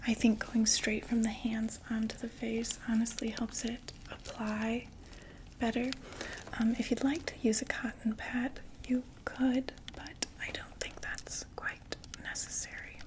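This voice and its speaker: A young woman whispering as a calm vampire makeup saleswoman. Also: on the high side, lightly acted. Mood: soft, soothing. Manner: slow, gentle, chatty sales talk. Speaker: ASMR roleplay artist. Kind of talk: ASMR roleplay.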